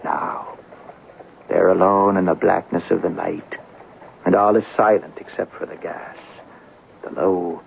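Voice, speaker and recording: An actor playing a brooding, respectful police sergeant in a radio drama, dwelling softly on his words with a gravelly voice. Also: deep voice, fairly even intonation, unhurried, long pauses, heavily acted. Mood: brooding, haunted. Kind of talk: 1970s radio drama acting. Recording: tinny, noisy old radio broadcast.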